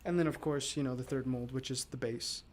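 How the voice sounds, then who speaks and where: A man with a raspy, low voice from allergies, talking casually and rambling to viewers as he demonstrates mold casting. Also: steady tone, a little fast, slight background noise. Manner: rambling, with many fillers and restarts. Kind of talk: casual livestream talk to viewers.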